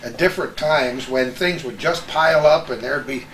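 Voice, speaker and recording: A man's voice: medium pitch, normal speed, a bit bright, slight background noise, rough recording. An older man hosting a casual chat, telling stories and anecdotes in a conversational way. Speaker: host of a reminiscing chat among radio veterans.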